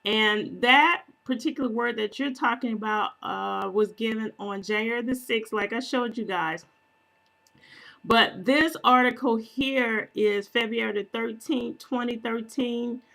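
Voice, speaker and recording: A woman hosting her own ministry channel, explaining things to viewers in a casual, unhurried way, often clearing her throat and pausing on fillers as she corrects herself. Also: a little high, fairly bright tone. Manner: unhurried, self-correcting, conversational. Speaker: prophetic minister and video channel host.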